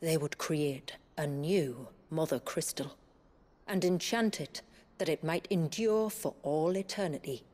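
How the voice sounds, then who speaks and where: An actress playing a grave village woman who recounts her people's tragic past in a measured, formal, earnest way for a video game. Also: sits low, darkish tone. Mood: grave, reverent. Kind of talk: solemn scripted storytelling in video game drama.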